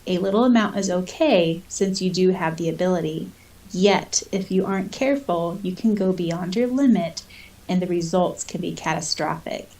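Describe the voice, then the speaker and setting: A woman's voice: lowish voice, normal speed, darkish tone, recorded from a distance, poor audio. A woman co-hosting a podcast, chatting casually and reading out quotes. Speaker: podcast co-host. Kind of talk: casual chat; reading out quotes and listener comments.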